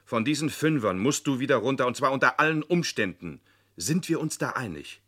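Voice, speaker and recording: Admonishing father: mid-pitched, forceful edge, lively intonation, plain-spoken, radio drama. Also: fairly quick. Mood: stern, earnest. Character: stern but fair father lecturing his teenage son. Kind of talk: German radio play acting.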